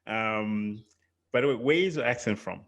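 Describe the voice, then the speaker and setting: A man's voice: lowish voice, normal speed, fairly bright tone. A man hosting a podcast, talking casually with stammering restarts and easy laughs. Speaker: podcast host. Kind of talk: podcast conversation.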